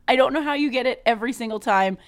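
Woman, podcast co-host, higher voice, upbeat, encouraging, filler-heavy explaining. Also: rapid-fire. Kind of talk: casual sports podcast chat.

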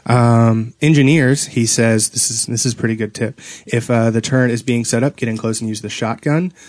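A man's voice: medium pitch, fairly even intonation, normal speed, a little mellow, dry recording. Casual joking man podcasting, dry close sound. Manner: playful teasing, joking with co-hosts. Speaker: podcast co-host. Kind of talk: casual gaming chat.

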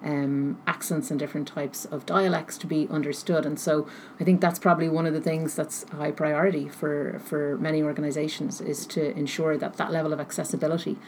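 Low-pitched woman, halting and thoughtful. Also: flat delivery, darkish tone. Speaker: interview guest, technology researcher. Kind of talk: thoughtful interview answers. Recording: noticeable background noise.

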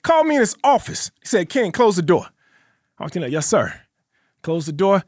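Male podcast co-host, ex-cop storyteller, animated with a lot of laughs, dry close sound. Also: high voice, normal speed, no room sound. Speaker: podcast co-host, former police officer. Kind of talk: casual storytelling chat.

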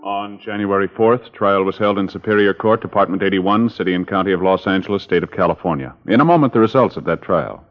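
A man announcing court results in a formal, measured, official manner for an old radio broadcast. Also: low-pitched, dull audio.

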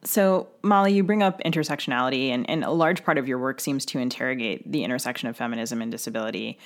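A woman's voice: a bass voice, fast. A woman co-hosting an interview, putting prepared questions in articulate, formal phrasing. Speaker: podcast co-host interviewer.

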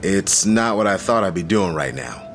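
A man's voice: sits low, animated, normal speed, a bit bright, a little hiss or hum. A man playing an easygoing but guarded survivor in an audio drama, talking in a casual, understated way. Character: easygoing, guarded male shooting survivor, an illustrator. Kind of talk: naturalistic audio drama dialogue.

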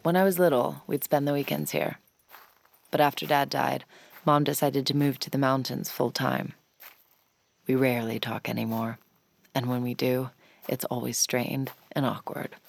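Guarded, dryly wry daughter for intimate audio drama, a low register and gravelly fry, understated delivery. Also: female voice, fairly even intonation, normal speed, exaggerated voice. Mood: wistful, reflective. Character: guarded, wry adult daughter, a recovering addict longing for closeness. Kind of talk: naturalistic audio drama acting with confiding narration.

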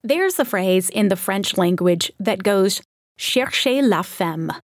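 A woman's voice: medium pitch, expressive, takes it slowly. A woman hosting an art history podcast, reading a scripted narration in a conversational way with wry asides. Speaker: podcast host.

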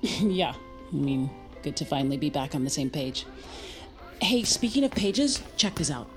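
A slightly low female voice with faint music behind. an earnest young American woman in a naturalistic audio drama, halting and awkward, with a wry streak. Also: lively intonation. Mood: wry, eager. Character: hesitant, earnest young woman with unbelievable story.

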